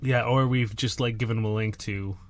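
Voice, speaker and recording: A man's voice: on the low side, fairly even intonation, a little fast, dry recording. A man co-hosting a casual podcast, groggy on cold medicine and tossing out scattered quips. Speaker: podcast co-host. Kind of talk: casual banter.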